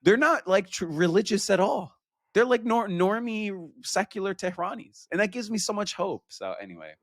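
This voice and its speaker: A man from Iran talking casually as a guest, animated and quick to mimic other people. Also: sits high, no echo, studio quality. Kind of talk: casual conversation.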